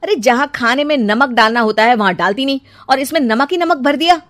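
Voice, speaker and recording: A woman's voice: high voice, lively intonation, fairly quick, a bit bright, no echo, pitched above the performer's own voice. Lady doing a grumpy young husband in a cartoon, blunt and scolding, dry sound. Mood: annoyed. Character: irritable young husband, a critical, fault-finding man.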